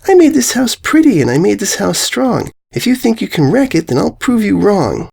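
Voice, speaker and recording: Man doing a high, indignant little unicorn filly. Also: very animated, moderate pace, vocal fry, darkish tone, breathy edge, exaggerated voice. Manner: indignant, emphatic. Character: stubborn, defensive young unicorn filly, proud of her house.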